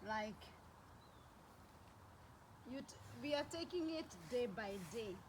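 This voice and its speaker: A woman's voice: fairly high pitch, expressive, slow, noisy background. A woman from Kenya with a Kenyan accent chatting casually in a rambling vlog, repeating phrases for emphasis. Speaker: vlogger.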